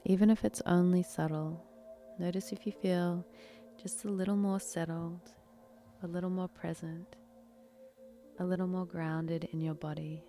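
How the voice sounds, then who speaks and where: A woman leading a guided meditation in a soft, breathy, unhurried, soothing manner with long pauses. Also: on the low side, fairly even intonation, faint music behind.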